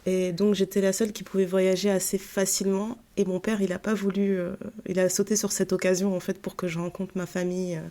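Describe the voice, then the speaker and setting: A woman from northern France, a podcast guest, telling her story in a relaxed, chatty way with many fillers and restarts. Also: mid-range voice, fairly quick, hardly any pauses. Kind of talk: casual storytelling chat.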